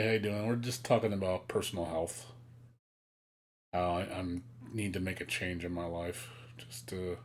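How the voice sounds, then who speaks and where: A man's voice: deep voice, slight background noise. A man in his late thirties, talking to viewers on his live stream in a candid, rambling way. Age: late 30s. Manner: candid, rambling, self-critical.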